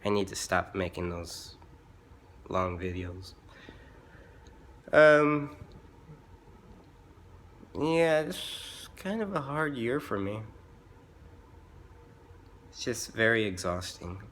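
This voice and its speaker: A hoarse young man who has been sick, talking haltingly with many fillers and restarts in a casual vlog from home. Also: lowish voice, sing-song, fairly bright tone. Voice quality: rough-voiced. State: hoarse from illness. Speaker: vlogger.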